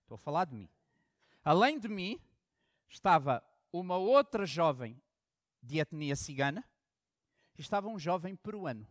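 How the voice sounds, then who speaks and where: A man from Angola who is a pastor, preaching a sermon in the European manner, telling stories and swinging from loud emphasis to hushed asides. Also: fairly high pitch, very animated, normal speed, pauses often, no room sound, studio quality.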